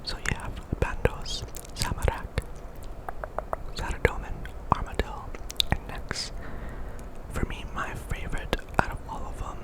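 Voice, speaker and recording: A man's voice: a little slow, low-quality recording. A man speaks entirely in a whisper, giving ASMR commentary over gameplay. He rambles casually and conversationally, as if chatting to viewers while he plays. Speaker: video game streamer and host.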